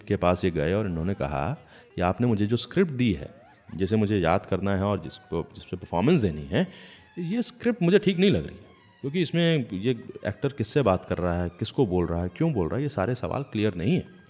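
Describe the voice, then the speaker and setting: A man's voice: on the low side, a little mellow. A man hosting a radio show, telling stories between songs in a conversational way and acting out the dialogue he quotes. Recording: long reverb, faint music behind.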